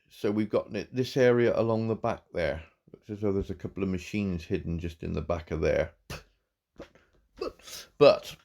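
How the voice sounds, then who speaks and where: A man, croaky from a cold, giving halting, warmly praising commentary on a farm game. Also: fairly low pitch. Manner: halting, repeats words, warm praise. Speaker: farm game channel host. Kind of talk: casual gameplay commentary.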